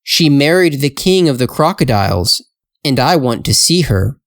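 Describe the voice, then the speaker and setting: A man voicing a plain-spoken, determined farmer and father in a fairytale, earnest and measured. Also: mid-range voice, very animated, no room sound, studio quality. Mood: insistent.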